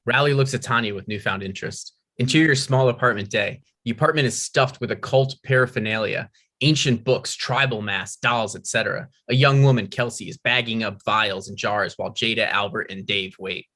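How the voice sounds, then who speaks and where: A man's voice: on the low side, brisk, bright, forceful tone. A man narrating stage directions, reading a screenplay aloud at a table read.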